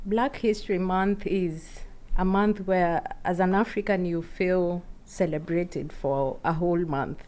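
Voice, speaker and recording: A woman's voice: on the low side, fairly even intonation, unhurried, few pauses, a little mellow. A woman with a Kenyan accent speaking as a guest in an unscripted radio interview. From Meru, Kenya. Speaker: interview guest, travel agency owner.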